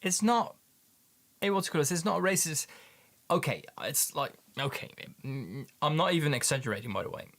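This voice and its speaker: Halting Dutch-accented man, chatty. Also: on the high side, animated, fairly bright tone. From Netherlands. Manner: halting, repeats words, many ums. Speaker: livestream host, paint maker. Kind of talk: casual livestream chat with viewers.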